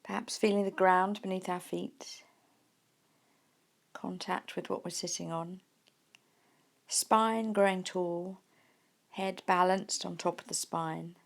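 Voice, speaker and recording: Woman guiding meditation, slightly creaky voice. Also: lowish voice, fairly even intonation. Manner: gentle, unhurried, with soft pauses. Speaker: meditation teacher. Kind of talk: guided mindfulness meditation.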